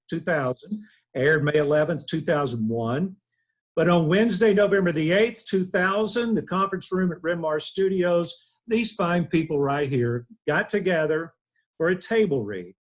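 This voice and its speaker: A man narrates a script at a table read over a video call, reading out scene directions. His voice is husky and sits a bit high for a man. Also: lively intonation, normal speed. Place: indoors on a group video call.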